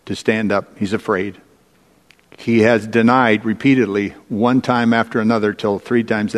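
Male preacher in a reverberant hall. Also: mid-range voice. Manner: deliberate storytelling, dramatic pauses and rhetorical questions. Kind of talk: sermon retelling and explaining Bible stories. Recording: echoey large hall with long reverb.

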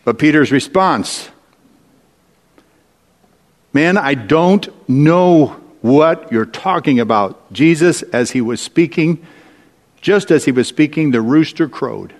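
A man preaching a sermon, retelling Bible stories in a deliberate, storytelling manner with dramatic pauses and rhetorical questions. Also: a little high, lively intonation. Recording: echoey large hall with long reverb.

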